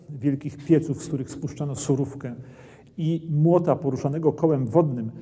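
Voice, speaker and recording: A man's voice: on the high side, warm tone, husky, big-room sound. A middle-aged man presenting a history documentary, explaining armour in an explanatory way in a castle armoury, on a lapel mic. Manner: explanatory, points at armour as he speaks. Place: dim brick castle armoury, indoors.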